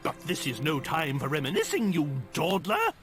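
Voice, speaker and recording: A man's voice: on the high side, wildly swooping pitch, normal speed, pitched above the performer's own voice. A man voicing a pompous, bossy quest companion from a video game, declaiming in a grandiose, theatrical way. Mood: bossy, triumphant. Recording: game music behind the voice.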